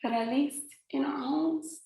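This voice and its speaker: A woman's voice: high voice, unhurried, gravelly, dark tone. An actress performing a rhymed spoken-word monologue with dramatic, pleading delivery, a woman in a roomy, echoing recording.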